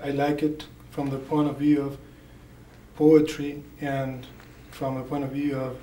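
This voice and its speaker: A man's voice: medium pitch, completely flat delivery, measured pace, dark tone. A man from Chile asking questions at a panel discussion, hesitant and searching for words. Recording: echoey room, far from the mic, faint noise.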